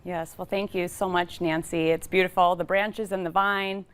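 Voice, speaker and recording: Female television interviewer, voice a little on the low side, presenting and putting questions to a guest. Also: little pitch movement, moderate pace, clean tone. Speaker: TV show host, interviewer. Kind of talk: scripted intro and interview questions.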